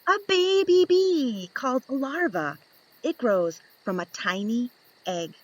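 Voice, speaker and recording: A woman reading a picture book aloud to young children, expressive and playful, asking the listener questions as she goes. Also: a little high, takes it slowly, no echo. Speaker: children's story reader.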